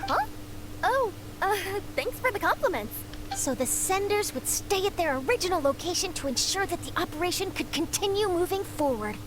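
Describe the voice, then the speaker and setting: A woman playing an eager, expressive young news reporter in an anime-style video game. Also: extremely high pitch, moderate pace, background noise. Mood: thoughtful. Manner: expressive, bubbly, inquisitive.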